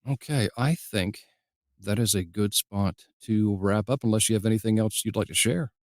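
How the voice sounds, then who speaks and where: A man's voice: on the low side, moderate pace, no echo, clean studio sound. A man hosting a podcast in a casual, conversational way, playfully acting out the lines he quotes. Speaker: podcast host. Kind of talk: casual interview chat.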